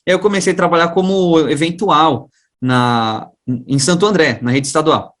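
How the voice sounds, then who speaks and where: A man with a fairly high-pitched voice and a Brazilian accent from the São Paulo region. He talks as an interview guest, recounting things informally. His way of speaking is chatty and full of everyday slang. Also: normal speed, a bit bright. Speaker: guest, science teacher and education researcher. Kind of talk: casual conversational storytelling.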